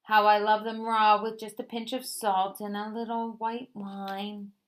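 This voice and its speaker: A woman voicing a sly, dramatic male fox who wails and pleads in a high voice. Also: level tone, measured pace, close to an everyday voice. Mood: dreamy mumbling.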